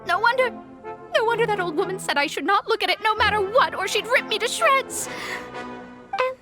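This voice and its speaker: Really high anime game girl, proud astrologer, stammers and gets dramatic, bit of music behind. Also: animated, brisk. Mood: panicked, indignant. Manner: stammering, haughty, melodramatic. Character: proud, easily flustered young female astrologer.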